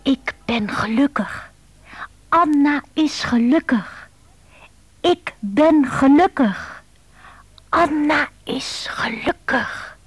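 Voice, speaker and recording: A woman's voice: high voice, animated, drawn-out words, pauses often, dry recording, exaggerated voice. An actress playing a lovelorn, needy woman with a pleading, emotional manner in a radio drama. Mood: wistful, declaring.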